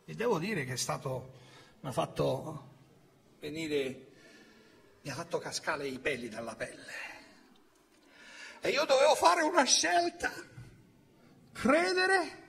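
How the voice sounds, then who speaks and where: A man in his fifties preaching a sermon from a church pulpit, swinging from loud exclamations to hushed storytelling. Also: fairly high pitch, sing-song, slow pace, creaky, long pauses. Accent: Italian. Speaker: pastor. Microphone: pulpit microphone on a stand.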